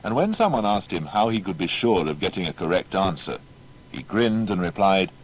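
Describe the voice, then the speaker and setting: Male narrator for audio biography, very low and animated, formal emphatic storytelling, faint noise behind. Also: moderate pace, rough recording. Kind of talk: scripted documentary biography narration.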